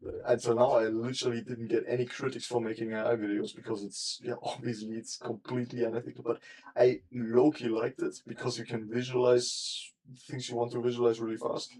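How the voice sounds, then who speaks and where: Flat, mellow-voiced young man rambling. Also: mid-range voice, a little fast, rough recording. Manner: rambling and thinking aloud, with trailing sentences. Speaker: streamer. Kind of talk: casual live-stream chat while working. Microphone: desk mic.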